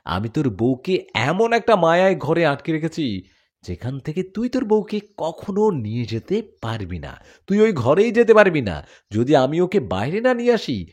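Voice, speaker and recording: A man's voice: on the high side, huge pitch swings, normal speed, darkish tone, voice pushed up. A man voicing a vengeful, mocking male jinn villain in a spoken horror story. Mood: taunting. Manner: mocking, threatening.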